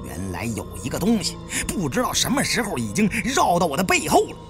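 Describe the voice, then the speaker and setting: A man narrating an adventure thriller audiobook in an expressive, suspenseful storytelling style. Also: fairly high pitch, fairly quick. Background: background music.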